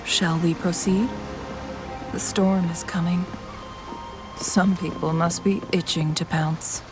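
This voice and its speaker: An actress playing a composed, scholarly young woman in a video game, speaking in a measured, formal, articulate way. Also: on the low side, steady tone. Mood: calm, explanatory. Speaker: game character voice. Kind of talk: scripted video game dialogue. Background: game music.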